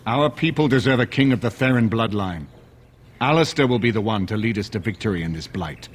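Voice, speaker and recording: Earnest aristocratic adviser, fantasy game. Low register, measured formal speech, dignified. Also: male voice, expressive. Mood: indignant, resolute. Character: dignified, resolute male noble statesman. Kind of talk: dramatic fantasy game acting.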